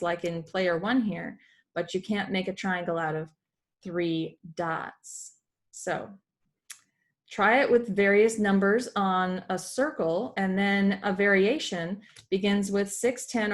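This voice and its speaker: A woman teacher giving an explanatory read-aloud lesson for children, recorded at a computer in a room at home. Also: medium pitch.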